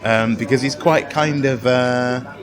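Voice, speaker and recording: A man's voice: mid-range voice, steady tone, slow pace. A man chatting casually in an interview, enthusiastic and often searching for his words. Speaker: interviewee from the production. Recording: loud music behind. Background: party music.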